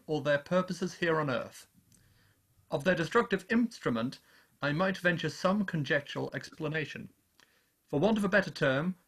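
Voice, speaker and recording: A man playing a learned, cautious professor in a radio drama, explaining in a formal, deliberate way. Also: on the high side, fairly bright tone. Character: learned male astronomy professor, cautious and analytical.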